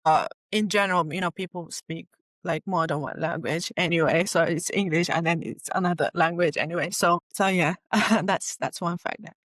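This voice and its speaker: A woman's voice: lowish voice, normal speed, a bit bright, no room sound. A young woman from Bulgaria, a first-time podcast guest, talking in a hesitant, friendly way with many fillers. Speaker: guest, design studio founder. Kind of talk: podcast interview.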